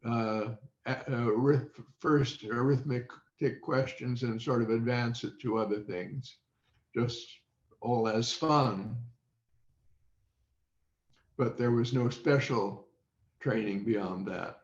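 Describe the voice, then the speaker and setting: Slow-talking elderly American man, roomy sound. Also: mid-range voice. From Indiana, United States. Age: 80s. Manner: halting, deliberate. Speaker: interview guest. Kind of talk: recollecting in an interview. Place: indoors on a video call. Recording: dull roomy video call audio.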